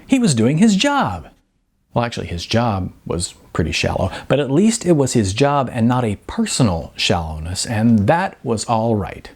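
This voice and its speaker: A male audiobook narrator reading a novel with ironic, expressive delivery. His voice is husky and his pitch swings freely. He leans into the wry asides of the story.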